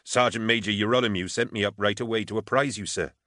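A man's voice: lowish voice, steady tone, fast, bright, forceful tone, dry recording, clean studio sound, pitched above the performer's own voice. Man as an anxious, urgent woman trooper. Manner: urgent, deferential. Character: anxious young-sounding female army trooper reporting to superiors. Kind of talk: audiobook character acting.

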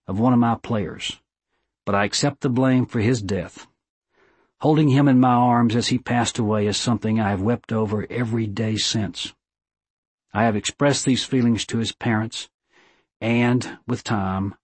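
A man's voice: mid-range voice, husky, dry recording, natural voice. Guy doing old dying coach, sorry and solemn, careful delivery, close dry audiobook sound. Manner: solemn, deliberate. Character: dying old football coach, remorseful confessor.

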